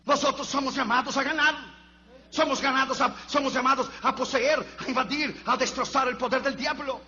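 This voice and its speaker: Fervent high-voiced preacher man. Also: fairly even intonation, normal speed. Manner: fervent, repetitive preaching that swings between shouting and near-whispers. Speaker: pastor. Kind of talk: sermon. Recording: dull lo-fi recording with faint hum.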